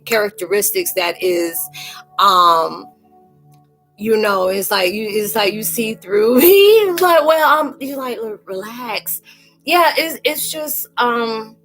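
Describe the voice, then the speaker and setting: A woman hosting a casual spiritual chat in a rambling, animated way. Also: on the low side, low-quality recording. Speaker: live stream host.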